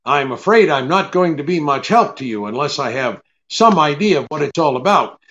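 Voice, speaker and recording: An actor playing a shrewd, cool private detective in an old-time radio drama, wry and blunt, hesitating with the odd uh. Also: male voice, fairly high pitch, animated, moderate pace, fairly bright tone. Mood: dry, probing. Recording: dull, lo-fi audio.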